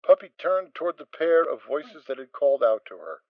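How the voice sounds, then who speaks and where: Expressive male storybook narrator. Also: fairly high pitch, fairly quick, no echo. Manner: expressive reading. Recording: dull, dry lo-fi recording.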